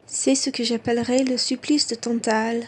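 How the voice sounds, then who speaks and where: A woman playing a well-bred gentleman traveller and devoted husband in a French radio play, formal and polite. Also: fairly high pitch, level tone, moderate pace, husky. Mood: measured.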